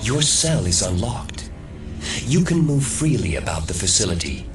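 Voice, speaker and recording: Disembodied mastermind, very low, lively intonation, measured, coaxing, smug, softly menacing, audio drama, music behind. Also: male voice. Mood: calm, coaxing. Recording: distant voice under loud music.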